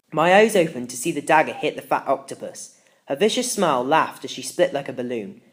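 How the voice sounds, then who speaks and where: A narrator reading a first-person children's story as a scripted audiobook. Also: medium pitch.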